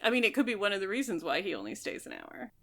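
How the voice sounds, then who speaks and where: A woman's voice: medium pitch, sing-song, quick pace, creaky, bright, forceful tone. A woman co-hosting a podcast, discussing a book casually and analytically, thinking aloud as she goes. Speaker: podcast co-host.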